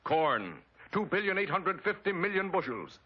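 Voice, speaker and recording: A man's voice: high voice, very animated, normal speed, husky. An American man delivering an emphatic, dramatic scripted narration in the style of a 1940s wartime propaganda film. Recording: dull vintage 1940s film soundtrack. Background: loud orchestral film score.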